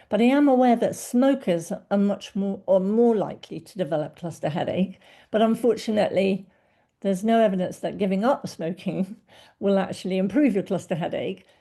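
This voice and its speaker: A woman's voice: mid-range voice, normal speed, mellow. A middle-aged woman, a doctor hosting a podcast, asking questions and giving attentive backchannels. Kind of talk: podcast interview.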